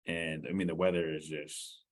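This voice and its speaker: Male podcast co-host, very low voice, easygoing, joking, casual chat. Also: little pitch movement, moderate pace. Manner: easygoing, joking, repeats words when starting.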